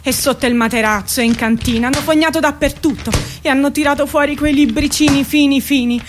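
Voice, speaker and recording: Woman acting a high-pitched, brash, aggrieved mother. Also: fairly even intonation, a little fast, music in the background, natural voice. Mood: aggrieved, bitter. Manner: colloquial, repetitive, insistent pleading and complaining. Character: brash, aggrieved working-class Italian mother defending her arrested son. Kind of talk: theatrical monologue radio drama.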